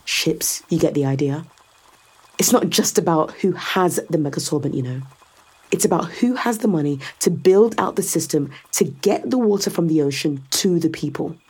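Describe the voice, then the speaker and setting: A woman's voice: low voice, darkish tone, slightly rough. A woman playing a powerful, calculating executive in a science fiction audio drama, sardonic and controlled as she persuades and explains.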